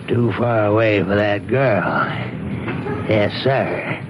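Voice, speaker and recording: A simple small-town American taxi driver, eager to please and hesitant. His lowish voice moves slowly, on a hissy seventies radio drama recording. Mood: proud, confiding. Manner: slow, hesitant, plain-spoken. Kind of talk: 1970s radio drama acting. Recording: hissy 1970s radio broadcast.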